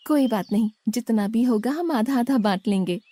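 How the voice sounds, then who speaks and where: A woman voicing the mild, devoted wife of a god, gently coaxing in an animated devotional story. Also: a little high, normal speed, no room sound, close to an everyday voice. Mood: gentle coaxing.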